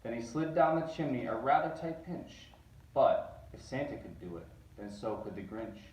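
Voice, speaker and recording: A man reading a picture book aloud, animated and rising on repeated words. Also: medium pitch, moderate pace. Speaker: reader. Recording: roomy echo, distant mic.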